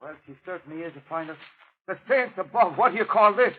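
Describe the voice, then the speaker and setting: Folksy cop, tinny radio. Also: male voice, high-pitched, expressive, fast talker. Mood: inquisitive. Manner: colourful, exclaiming, folksy. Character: folksy, exclamatory Irish male police lieutenant. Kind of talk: 1930s radio drama acting. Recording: tinny, distant 1930s radio recording.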